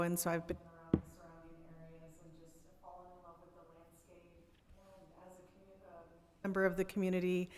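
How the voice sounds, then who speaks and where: A woman's voice: lowish voice, creaky. A woman applying for a volunteer board, answering interview questions hesitantly with many ums and restarts. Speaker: board applicant. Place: city council chamber. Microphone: podium mic. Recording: echoey council chamber.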